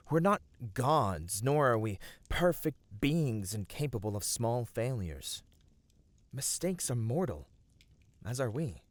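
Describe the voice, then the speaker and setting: Gentle, noble male fantasy hero, played by a man, fairly low voice, soothing and earnest, intimate ASMR roleplay, close dry sound. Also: no room sound. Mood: consoling. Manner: soothing, earnest, formal archaic phrasing. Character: gentle, noble, reassuring male fantasy adventurer comforting a weary friend.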